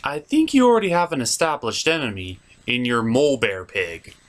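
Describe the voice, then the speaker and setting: A man's voice: medium pitch, wildly swooping pitch, moderate pace, noisy background. A man narrating a scripted comedic review as host, in a sarcastic, theatrical way.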